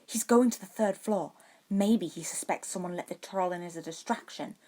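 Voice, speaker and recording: A woman's voice: on the low side, normal speed, mellow, natural voice. A woman voicing a haughty, privileged schoolboy wizard with a snide, flippant manner in an audiobook. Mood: wary.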